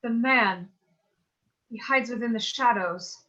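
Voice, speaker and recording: A woman's voice: fairly high pitch, slow, bright, forceful tone. A woman playing an anxious, bookish teenage girl in a mock-Shakespearean staged reading, speaking earnestly in theatrical archaic language. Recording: dull lo-fi sound.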